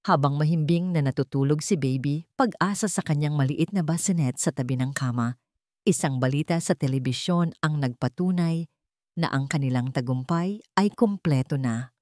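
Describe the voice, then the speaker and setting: A woman's voice: low-pitched, moderate pace, dark tone, dry recording, clean studio sound. A woman with a Filipino accent reads a scripted story as a dramatic narrator.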